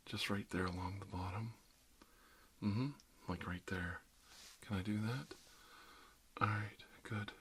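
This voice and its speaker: Soft-spoken, deep-voiced ASMR dentist played by a man. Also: fairly even intonation, a little hiss or hum. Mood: reassuring. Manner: soothing, unhurried, reassuring, talking a patient through each step. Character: calm, reassuring male emergency dentist treating a supernatural patient. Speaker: ASMR roleplayer playing a doctor. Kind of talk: ASMR roleplay, soft-spoken with frequent whispering.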